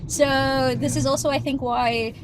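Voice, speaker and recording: Middle-aged South African woman, somewhat high voice. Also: steady tone, poor audio. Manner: thoughtful, laughs often, slows to stress points. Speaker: guest, AI ethics expert. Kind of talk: casual interview talk. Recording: background noise.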